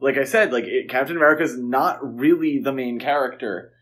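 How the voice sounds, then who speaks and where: A man's voice: medium pitch, brisk, fairly bright tone. A man co-hosting a podcast, talking casually about films in a conversational way. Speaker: podcast co-host. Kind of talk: casual film discussion.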